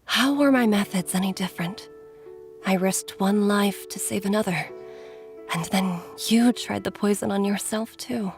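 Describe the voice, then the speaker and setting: A woman's voice: medium pitch, natural voice. A woman playing a weary, caring doctor and mother in a video game, with a wistful, earnest manner. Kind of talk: scripted video game voice acting.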